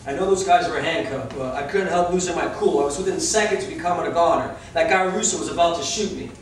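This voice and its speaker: A man's voice: fairly high pitch, fast, lightly acted. Man acting a world-weary tough boxer, echoey. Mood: subdued. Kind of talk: staged play reading. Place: large echoey room, live staged reading. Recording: very echoey room, distant mic, faint noise.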